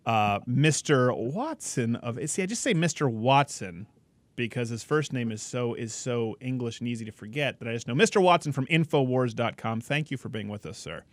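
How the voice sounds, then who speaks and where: A man from the United States hosting a casual talk-show interview, joking and rambling with quick asides. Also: mid-range voice, lively intonation, dry recording. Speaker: host.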